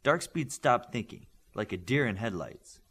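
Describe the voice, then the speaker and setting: A man's voice: medium pitch, animated, normal speed, a bit bright, husky. A man reading a scripted story aloud as an audiobook narrator.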